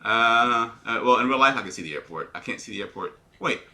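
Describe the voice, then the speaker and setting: Man with a sharp, forceful radio-style voice. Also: medium pitch, animated, brisk. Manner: talks in a put-on radio-announcer voice; explains checklists step by step and answers viewer questions. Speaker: airline pilot. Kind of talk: live-streamed flight sim commentary.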